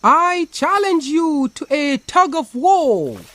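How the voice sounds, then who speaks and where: A woman voicing a clever, cheeky little male spider in a children's story, speaking in a theatrical, drawn-out way. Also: high voice, expressive, fairly bright tone, voice pushed up, full character voice. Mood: bold challenge. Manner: theatrical, slow, drawn-out challenges.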